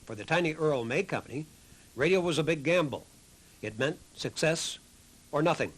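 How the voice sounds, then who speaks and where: A man's voice: on the high side, expressive, normal speed, breathy edge, rough recording. A man reading scripted documentary narration as the narrator of a history program.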